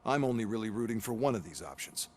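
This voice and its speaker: An actor playing a cynical, condescending male corporate overseer in a video game, sarcastic and quietly threatening. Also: fairly low pitch, animated, fast talker, a little hiss or hum, close to an everyday voice. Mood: smug, insistent. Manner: sarcastic, condescending, foul-mouthed. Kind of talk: video game cutscene acting.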